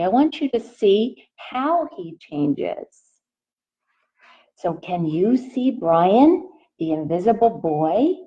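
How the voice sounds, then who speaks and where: A woman teacher reading a picture book aloud to young children in a deliberate, expressive way, speaking straight to her listeners. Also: mid-range voice, highs cut off.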